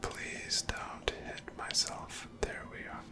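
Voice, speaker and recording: A person whispering softly and breathily, giving gentle, casual ASMR commentary while playing a video game. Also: slow pace, loud music behind the voice. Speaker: host of a gameplay series.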